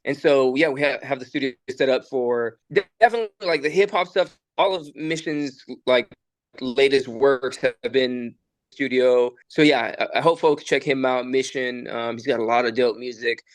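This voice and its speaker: A man's voice: mid-range voice, normal speed, no echo. A young man from El Salvador, a musician and studio producer, chatting casually in a recording studio and talking in a loose, rambling way with lots of fillers and restarts. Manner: laid-back, rambling, many fillers and restarts. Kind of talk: casual podcast conversation.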